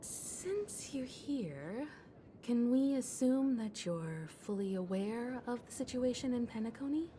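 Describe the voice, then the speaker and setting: A woman voicing a polite, calm young female traveller in a video game. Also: a little high, slow pace, slight background noise. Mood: polite, concerned. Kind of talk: scripted video game voice acting. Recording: loud music behind the voice.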